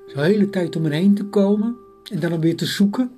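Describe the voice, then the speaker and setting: A woman's voice: lowish voice, brisk, dark tone, soft background music, voice pushed up, close to an everyday voice. A woman playing a haughty bird princess. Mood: dismissive.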